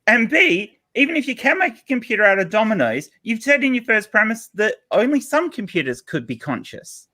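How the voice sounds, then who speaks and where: A man's voice: high-pitched, big pitch swings, normal speed, sharp tone. A man co-hosting a casual panel chat, jokey and giggly, tending to stammer as he argues. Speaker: co-host.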